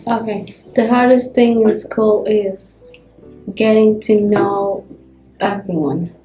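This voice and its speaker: Woman, slow, flat, mellow tone, roomy sound, faint music. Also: mid-range voice, a little hiss or hum. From Kenya. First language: Somali. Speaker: immigrant student.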